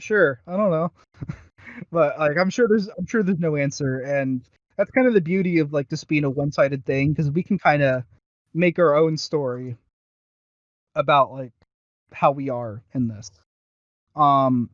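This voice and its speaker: A man co-hosting a podcast with casual, halting, rambling commentary and some swearing. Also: fairly high pitch, dry recording. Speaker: podcast co-host. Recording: dull lo-fi voice recording.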